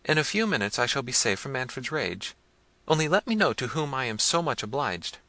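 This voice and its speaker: A man's voice: medium pitch, sing-song, a little fast, sharp tone, dry recording, pitched above the performer's own voice. Man voicing a frightened young princess. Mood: urgent.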